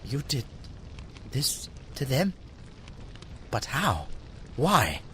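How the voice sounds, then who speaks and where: An actor playing a hunted, anguished and proud young mutant in a superhero audio drama. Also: male voice, medium pitch, huge pitch swings, extremely slow, sharp tone, a little hiss or hum, heavily acted. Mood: bewildered, hopeful. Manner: theatrical, dramatic soliloquy. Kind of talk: fan-made superhero audio drama acting.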